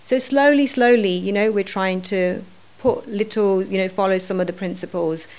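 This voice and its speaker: A woman's voice: medium pitch, normal speed, a little mellow. Earnest woman thinking aloud. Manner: earnest, thinking aloud with many "you know"s. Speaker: podcast guest. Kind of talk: reflective podcast conversation.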